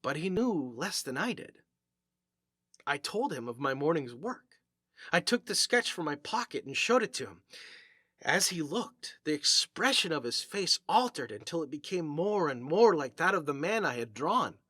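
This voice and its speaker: Bright, forceful male storyteller. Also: on the high side, sing-song, moderate pace, no echo, clean studio sound. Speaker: story reader. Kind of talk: dramatic scripted narration of a classic eerie short story.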